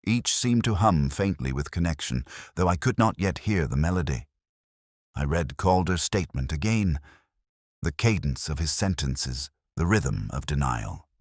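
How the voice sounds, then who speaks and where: A man playing a weary, introspective police detective, narrating a crime drama in the first person with reflective, literary, measured phrasing. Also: a bass voice, lively intonation, no echo, studio quality. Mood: brooding, reflective.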